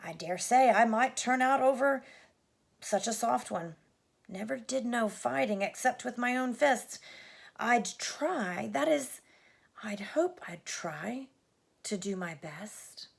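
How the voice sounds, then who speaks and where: A woman's voice: mid-range voice, moderate pace, close to an everyday voice. A woman voicing a humble middle-aged male London cabbie with a Cockney accent, speaking in a homely, earnest, hesitant way. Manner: homely working-class speech, earnest and hesitant.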